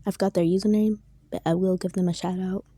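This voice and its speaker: A woman's voice: on the low side, fairly even intonation, fast, no echo, clean studio sound. A young woman chatting casually while she draws, often half-whispering and putting herself down. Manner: soft, often whispering, self-deprecating. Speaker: drawing video creator. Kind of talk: casual chat while drawing.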